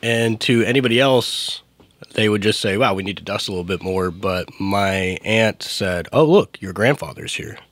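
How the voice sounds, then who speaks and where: A man's voice: fairly low pitch, normal speed, a bit bright, no room sound. A man in his twenties co-hosting a casual podcast, easygoing and laughing with many fillers. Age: 20s. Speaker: podcast co-host.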